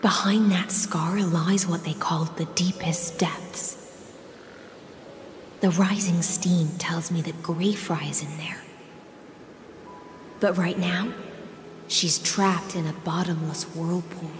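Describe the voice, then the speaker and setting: Slow, grave seer in echo. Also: female voice, on the low side, fairly even intonation. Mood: solemn. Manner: measured, poetic, restrained. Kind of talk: dramatic video game dialogue. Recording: echoey long reverb, faint music.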